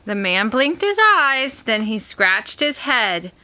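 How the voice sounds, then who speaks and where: A woman narrates a rhyming storybook aloud. Her voice is bright and a little high, and she reads slowly and expressively, with deliberate phrasing. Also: sing-song, no echo. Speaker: narrator and reading leader.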